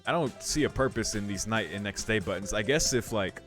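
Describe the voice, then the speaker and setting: Low-voiced young man doing casual game commentary. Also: fairly quick, a bit bright, soft background music. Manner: informal, musing, thinking aloud. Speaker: video game streamer. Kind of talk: casual playthrough commentary mixed with reading game text aloud.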